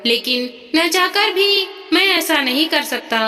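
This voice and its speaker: A woman's voice: very high voice, lively intonation, unhurried, bright, forceful tone, full character voice. A woman voicing a devoted, dutiful adult son in a story, speaking in an earnest way. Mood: reassuring, earnest. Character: devoted, dutiful grown son of modest means. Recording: long reverb, music behind.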